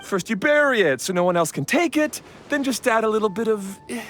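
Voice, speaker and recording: High-voiced cowardly, pleading bandit. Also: male voice, lively intonation, fairly quick, fairly bright tone, a little hiss or hum. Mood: eager, anxious. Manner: nervous, hesitant, pleading. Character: cowardly male bandit, eager to confess, pleading. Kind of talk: video game cutscene acting.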